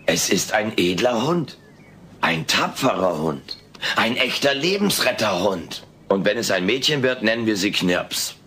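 An actor playing a wisecracking, self-centred furry alien in a sitcom audio play, sardonic and cheeky. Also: male voice, lowish voice, big pitch swings, unhurried, fairly bright tone, breathy edge, heavily acted. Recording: noisy old cassette audio.

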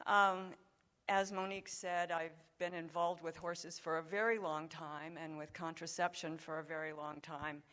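An older woman giving a conference talk from a podium in a large hall, speaking into a handheld microphone in a measured, conversational way. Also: deep voice, little pitch movement, fairly bright tone. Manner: measured, conversational lecturing with occasional fillers.

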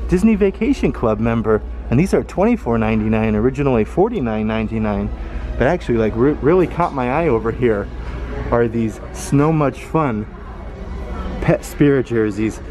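Upbeat man touring a store. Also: mid-range voice. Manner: upbeat, reads out prices, frequent "guys" and "look at that". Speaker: vlogger. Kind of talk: casual shopping vlog walkthrough. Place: indoor retail store.

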